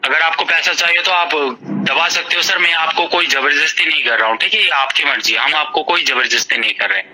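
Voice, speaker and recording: A man's voice: on the high side, brisk, bright, forceful tone. A brazen, dismissive man posing as a customer care manager in a confrontational phone call.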